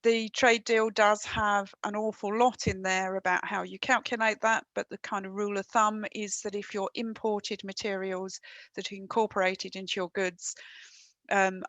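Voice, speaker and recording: A woman's voice: mid-range voice, a bit bright, dry recording. Woman giving a webinar talk. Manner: conversational, frequent ums, dry wry asides. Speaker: trade and customs presenter. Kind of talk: webinar presentation. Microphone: headset.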